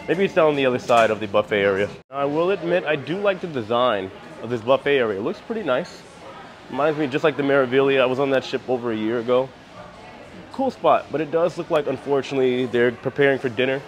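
An American man in his thirties giving a casual walking-tour commentary as a travel vlogger, recorded on a handheld camera. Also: medium pitch. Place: walking around a cruise ship, decks and indoor areas. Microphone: handheld camera at arm's length.

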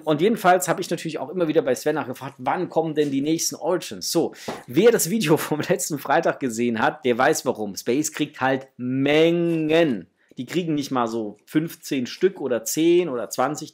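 A man in his thirties hosts a casual unboxing from a room at home. His voice is husky rather than clean, and he talks informally, addressing his viewers directly. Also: a little high. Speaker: host of a toy collecting channel. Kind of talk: casual chat, unboxing to camera. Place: small room at home, indoors.

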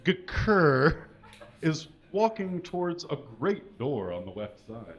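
A man giving a live comedic reading of fan fiction on a small stage, mostly dramatic with deadpan asides, reading punctuation aloud. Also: on the high side, takes it slowly. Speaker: comedic reader. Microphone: stand mic. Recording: dull, lo-fi live recording.

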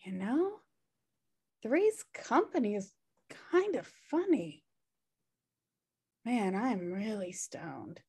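Woman playing a dry, slow-paced slacker sister. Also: medium pitch, big pitch swings, a little mellow, husky, heavily acted. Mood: dry, stoned. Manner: dry, teasing, sarcastic. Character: sardonic, pushy, slacker twin sister in her thirties. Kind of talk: comedy script table read. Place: home room on a video call.

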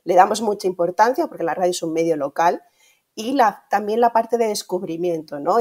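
Woman, Castilian Spanish accent, fairly low voice, explanatory interview style, frequent tag questions, video call. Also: darkish tone. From Spain. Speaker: interview guest, radio streaming executive. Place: indoor room, video call.

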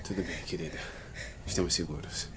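A man's voice: low-pitched, steady tone, fairly quick, close to an everyday voice. A Brazilian man playing a protective, desperate father in a dramatic video game fan dub. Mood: pleading. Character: protective, desperate father fleeing with injured daughter. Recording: clear noise and ambience behind voice.

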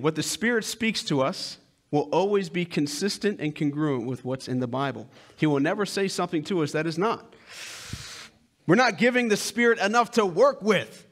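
Man, pastor, thirties, lively preaching, headset mic, big church stage. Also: high voice. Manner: animated, swinging between loud emphasis and hushed asides. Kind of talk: sermon.

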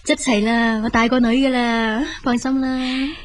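An actress playing a regretful, grateful younger sister in a Cantonese radio drama, with a Hong Kong accent. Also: female voice, on the high side, flat delivery, a little slow, lightly acted.

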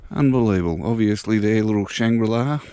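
A man giving a relaxed, casual walking tour outdoors, thinking aloud as he goes. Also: lowish voice, fairly quick, clean tone, no echo. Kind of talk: casual walk-and-talk narration. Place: outdoors walking through a small country town.

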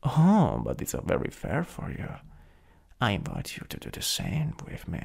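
A man with an Italian accent playing a jealous, flirtatious supervillain in an intimate ASMR roleplay, breathy and often whispering. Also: mid-range voice, huge pitch swings, darkish tone, heavily acted. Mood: jealous, menacing. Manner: slow, teasing, lingering delivery. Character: jealous, possessive, teasing flirtatious male supervillain.

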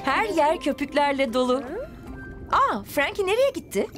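A woman's voice: sits high, big pitch swings, normal speed. A woman narrator telling a cartoon story expressively to young children, speaking directly to the characters and viewers. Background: music.

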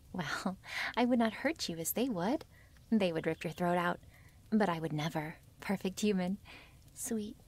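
A woman voicing a wary, possessive young female werewolf in an intimate ASMR roleplay, breathy and half-whispered, with halting, formal phrasing. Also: mid-range voice, a little mellow. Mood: possessive, softly menacing. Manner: halting, stiffly formal phrasing without contractions.

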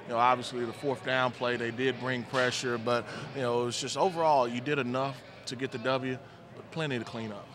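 A man in his forties, a former player, giving relaxed postgame football analysis into a handheld mic on a studio set. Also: medium pitch, a little fast, a crowd in the background. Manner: relaxed, thoughtful, many "you know" fillers. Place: studio set with team backdrop, indoors. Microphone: handheld microphone.

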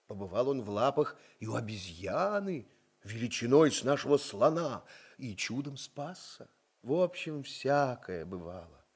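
A man narrating a radio play as a storyteller, with an expressive, confiding, dramatic delivery. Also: a little high, breathy edge.

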